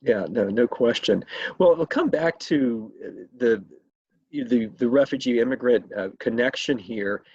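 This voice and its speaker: A man conducting a conversational interview as host, speaking haltingly and often repeating his words. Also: medium pitch, darkish tone, slightly rough. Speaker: state legislator hosting an interview. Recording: roomy, echoey, muffled video call sound.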